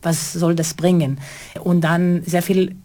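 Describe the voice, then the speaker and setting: Middle-aged choreographer, earnest and halting interview answers, lowish voice. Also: female voice, flat delivery, mellow. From Israel. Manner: halting, non-native grammar, earnest. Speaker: choreographer and theater co-founder.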